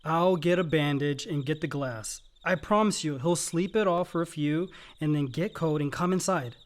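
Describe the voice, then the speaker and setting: Dry, lightly higher-voiced resentful son man acting. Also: normal speed, lightly acted. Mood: irritated. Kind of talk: naturalistic radio play acting.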